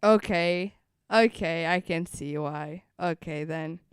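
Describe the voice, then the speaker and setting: A woman's voice: lowish voice, slow, clean tone, dry recording, studio quality. A woman streamer giving casual live reaction commentary, rambling and repeating phrases as she thinks aloud. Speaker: streamer reacting to a game livestream.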